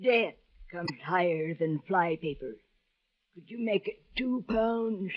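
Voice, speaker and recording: A wizened old woman behind a hotel desk, theatrical and greedy. She draws out her florid, formal phrases at a slow pace. It has the muffled, lo-fi sound of an old radio drama. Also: fairly low pitch. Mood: sly, haggling. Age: older. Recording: dull, lo-fi old radio recording.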